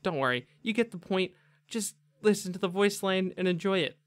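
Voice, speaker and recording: A man's voice: sits high, lively intonation, moderate pace, over music. A young man hosting a gaming video with casual, enthusiastic, slangy commentary. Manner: chatty, slangy, effusive.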